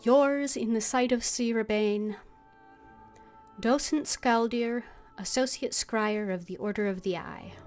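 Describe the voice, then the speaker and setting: A woman streaming a game, reading dialogue and notes aloud in character with measured expression. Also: fairly high pitch, darkish tone. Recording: faint music behind the voice.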